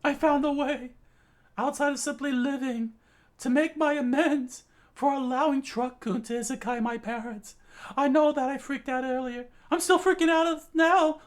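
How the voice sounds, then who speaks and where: A woman voicing an anxious, excitable teenage boy who rambles and stumbles over his words. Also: sits high. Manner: rambling, excitable, breaks into laughs.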